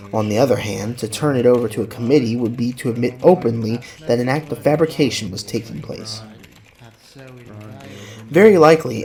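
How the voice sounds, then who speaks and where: A man's voice: medium pitch, a little mellow. Man narrating a novel over music. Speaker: audiobook narrator. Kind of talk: scripted dramatized novel narration. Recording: clear music under the narration.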